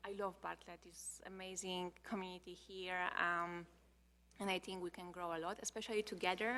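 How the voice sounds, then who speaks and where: A woman's voice: on the low side, sharp tone. A woman from Poland with a Polish accent, informally introducing herself at a meeting. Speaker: commission member, salon owner. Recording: reverberant meeting room.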